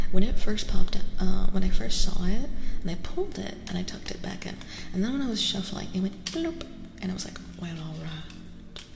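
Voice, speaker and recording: A woman chatting softly in a casual ASMR livestream, gentle and playful, slipping at times into a breathy half-whisper. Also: medium pitch. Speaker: livestream host reading tarot for chat. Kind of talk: soft-spoken ASMR, casual chat with viewers. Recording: roomy reverberant sound with faint noise.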